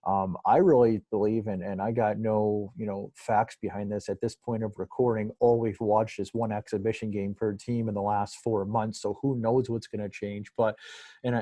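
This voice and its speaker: Low, creaky-voiced man talking sports. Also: warm tone, no echo. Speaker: interview guest, sports play-by-play broadcaster. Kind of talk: casual sports talk.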